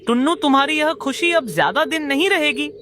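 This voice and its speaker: Cartoon villain crow, male, jealous and boastful, high piercing voice, played by a man. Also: animated, brisk, bright, forceful tone, full character voice. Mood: scheming, boastful. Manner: boastful, declamatory. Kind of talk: Hindi kids' cartoon dialogue.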